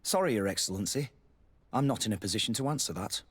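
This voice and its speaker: Husky game officer, formal and evasive, voice moves around a lot. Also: male voice, on the low side, lively intonation, quick pace. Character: evasive, deferential military officer who trains recruits. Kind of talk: video game dialogue acting.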